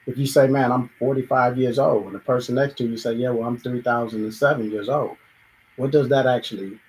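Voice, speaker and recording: A man's voice: mid-range voice, fairly even intonation, brisk, a little mellow, low-quality recording. Reflective man musing in a monologue. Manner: thoughtful, acts out everyday dialogues, sometimes restarts. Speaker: show host.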